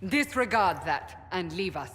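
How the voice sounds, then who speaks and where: An actress playing a stern, forceful female warrior in a fantasy game, with a blunt, commanding manner. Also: fairly low pitch, animated, measured pace, husky, heavily acted. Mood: firm. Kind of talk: dramatic fantasy game acting. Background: music.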